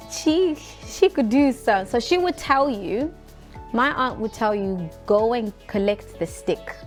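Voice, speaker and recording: A woman telling personal stories in an interview, expressive and quoting relatives. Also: on the high side. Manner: expressive, quotes relatives in character.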